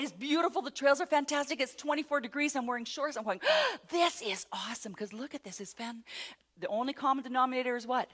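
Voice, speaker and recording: Middle-aged woman, motivational speaker; high, very lively voice, self-mocking comic storyteller. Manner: animated, self-mocking, rising to loud exclamations. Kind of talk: storytelling keynote talk. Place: before a live audience.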